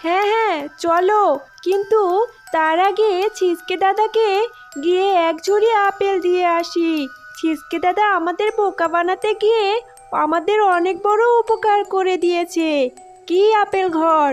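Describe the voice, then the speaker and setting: A woman voicing a kindly, righteous female fox in a cartoon fairy tale, polite and gently moralizing. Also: extremely high pitch, fairly even intonation, measured pace, soft background music. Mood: friendly.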